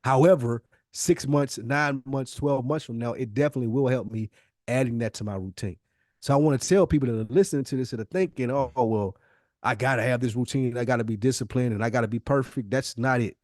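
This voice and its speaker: A man's voice: medium pitch, a little fast, darkish tone, no room sound, clean studio sound. A middle-aged American man talking casually and motivationally as a podcast co-host, emphatic and repeating phrases to drive points home.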